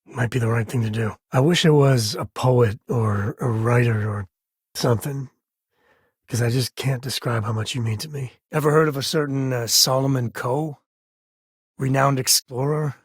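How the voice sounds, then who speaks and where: An actor voicing an easygoing space-western ranger and devoted father in a video game, with a husky voice and a folksy, wry, conversational manner. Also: medium pitch, dry recording, clean studio sound, lightly acted. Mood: warm, easygoing. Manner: folksy, wry, casual drawl of phrasing.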